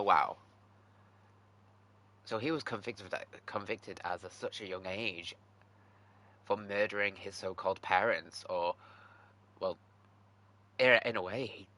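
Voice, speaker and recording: Low, bright-voiced, slow-paced male streamer. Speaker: game streamer. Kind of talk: casual livestream commentary.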